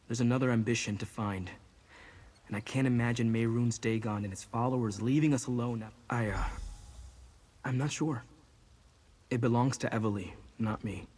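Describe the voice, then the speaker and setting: An actor playing a troubled, earnest male wanderer in a video game, hesitant and solemn, with a husky voice. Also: mid-range voice, a little mellow. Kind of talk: video game character dialogue.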